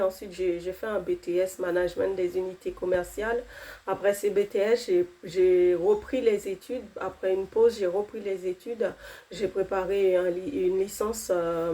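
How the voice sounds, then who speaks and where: A woman's voice: medium pitch, warm tone. A woman in her thirties, not a native French speaker, giving an earnest personal faith testimony, with fillers and restarts.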